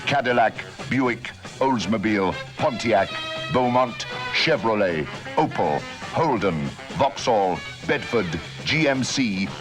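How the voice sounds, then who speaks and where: A man's voice: fairly low pitch, animated, takes it slowly. A man announcing a vintage radio police series in a dramatic, emphatic style. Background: music.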